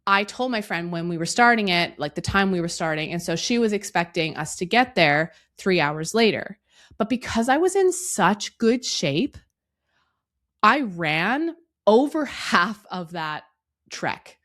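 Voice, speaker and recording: A woman in her thirties hosting a solo podcast, her voice hoarse and raspy from a cough, talking earnestly and reflectively to the listener. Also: mid-range voice, big pitch swings, measured pace, fairly bright tone. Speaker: podcast host.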